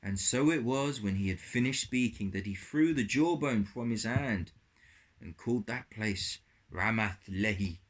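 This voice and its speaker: Male storyteller, lower-pitched and unhurried, careful scripted Bible reading for kids. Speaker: storyteller reading scripture for a children's puppet show.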